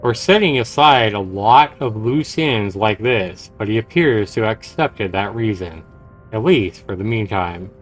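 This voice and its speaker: Guy streaming a visual novel, reads it out in a low voice, bit halting, game music behind. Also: animated. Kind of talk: live game stream narration, reading aloud. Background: video game music.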